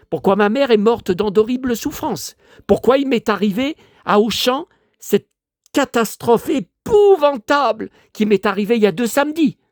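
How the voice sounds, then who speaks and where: An older man from France with a hoarse voice, grumbling and talking to himself as he shows his latest finds to viewers in a casual monologue. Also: high voice, no echo, clean studio sound. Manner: grumbling, exasperated, talks to himself. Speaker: vlogger showing flea-market finds.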